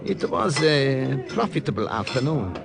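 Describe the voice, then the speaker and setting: An actor playing a nervous professor working undercover in an old radio drama, with a hesitant, polite way of talking. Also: male voice, medium pitch, very animated, a little slow, creaky, over a noisy crowd. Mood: confiding. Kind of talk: 1940s-50s radio drama acting. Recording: old radio recording, noisy tavern clatter.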